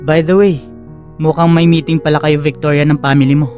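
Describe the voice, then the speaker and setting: A man's voice: fairly high pitch, little pitch movement, fairly quick, a little mellow, soft background music, close to an everyday voice. A man playing a friendly, smitten young corporate executive in an audio drama. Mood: explaining.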